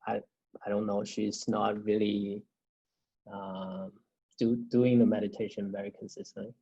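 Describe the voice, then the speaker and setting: Male trainer, husky and gravelly, hesitant delivery in casual shop talk, dull lo-fi call sound. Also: lowish voice, flat delivery, mellow. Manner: hesitant, halting. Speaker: call participant, trainer asking about a client. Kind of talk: casual professional discussion. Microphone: conference call.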